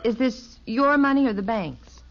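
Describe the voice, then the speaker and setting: A muffled vintage radio recording of a woman playing a wife and mother. Her fairly high voice is gentle and unhurried, mildly skeptical in sitcom style. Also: natural voice. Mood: gently skeptical. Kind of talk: 1950s radio sitcom acting.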